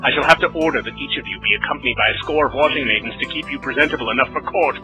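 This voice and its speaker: A man puts on a regal prince for a fantasy radio play. He speaks with dry, teasing authority, pitched up from a low register, with music clearly behind him. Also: racing, bright, forceful tone, lo-fi sound. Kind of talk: fantasy audio drama acting.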